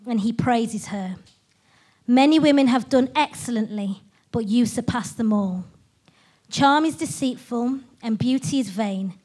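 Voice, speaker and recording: Woman reading scripture aloud slowly. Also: fairly high pitch, low-quality recording. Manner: warm welcoming, then slow measured reading. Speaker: host of a church study session.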